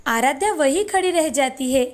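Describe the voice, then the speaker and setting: A woman's voice: high-pitched, lively intonation, moderate pace. A woman reading a scripted children's story as narrator. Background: faint music.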